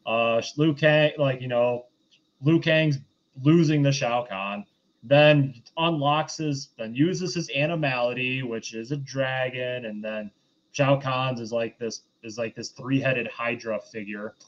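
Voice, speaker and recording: A man's voice: medium pitch, a little slow. A man co-hosting a casual recap and commentary in a sardonic way, on slightly dull lo-fi audio. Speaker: podcast co-host recapping films.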